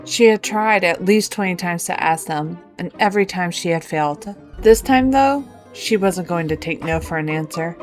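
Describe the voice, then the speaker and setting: A woman's voice: on the low side, normal speed, hardly any pauses, faint music behind. A woman reading scripted narration as the storyteller of a fan-written game quest.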